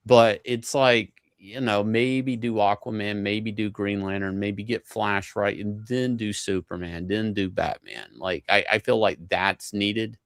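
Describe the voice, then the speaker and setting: A man's voice: lowish voice, measured pace, hardly any pauses, dry recording, clean studio sound. A man in a casual pop culture discussion, arguing his point with hesitant starts and some swearing.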